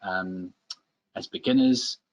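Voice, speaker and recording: A man's voice: deep voice, takes it slowly, highs cut off. A man with a South African accent presenting an informal live tutorial as a webinar instructor, often hesitant and searching for words.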